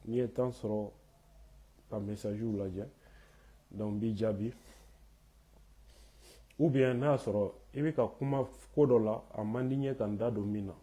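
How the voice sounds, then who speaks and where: Guy with a cold hosting a live chat, mellow dark voice, lots of euh. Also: lowish voice, steady tone, a little slow, long pauses. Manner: hesitant, many "euh" fillers and restarts. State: has a cold, hoarse. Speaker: host of a live online talk. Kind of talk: live commentary, switching French and Bambara.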